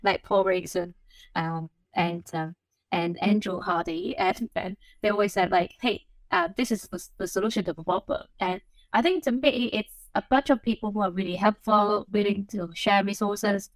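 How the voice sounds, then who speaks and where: A woman's voice: mid-range voice, fairly even intonation, normal speed, dry recording, rough recording. A woman from Hong Kong, an assistant professor, answering interview questions in a reflective way with many "like" and "um" fillers. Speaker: assistant professor, podcast guest.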